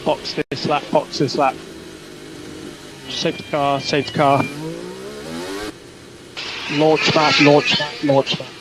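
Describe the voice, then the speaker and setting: A man's voice: on the high side, little pitch movement, slow pace, pauses often, noisy background. A man working as a race engineer, giving procedural, repeated instructions to a driver over team radio.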